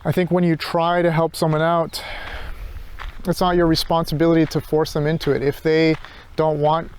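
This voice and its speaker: A man's voice: fairly high pitch, level tone, normal speed, darkish tone, a little hiss or hum. A man thinking aloud in an unscripted reflective monologue, hesitant and searching, often circling back over his phrases. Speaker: philosophy vlogger.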